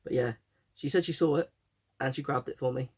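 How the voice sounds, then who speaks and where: A man's voice: medium pitch, brisk, slightly rough. A young man with a cold, chatting casually and rambling about his latest finds, often correcting himself. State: croaky with a cold. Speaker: game collector vlogger. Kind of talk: casual chat.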